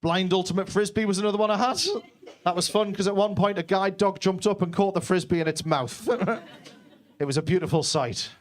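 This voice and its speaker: A man's voice: high-pitched, a little fast. A young man from Newcastle, doing a brash, self-deprecating stand-up set in a basement comedy club, swearing freely. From Newcastle, England.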